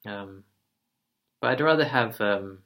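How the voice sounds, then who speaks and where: A man giving a casual personal update in a slow, monotone, calm voice. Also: on the low side. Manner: soft-spoken, relaxed, occasional quiet laughs. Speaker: vlogger.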